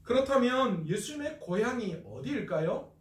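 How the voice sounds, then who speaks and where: A man's voice: very high voice, sing-song, moderate pace, echoey room, recorded from a distance, a little hiss or hum. A man from North Gyeongsang, South Korea, preaching an expository Bible lecture as a pastor, asking questions and answering them himself.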